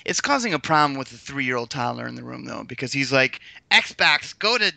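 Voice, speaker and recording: Bright, joking man on a podcast. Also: mid-range voice, brisk, gravelly, no echo. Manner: animated, joking, swears casually. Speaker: podcast guest. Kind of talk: casual chat.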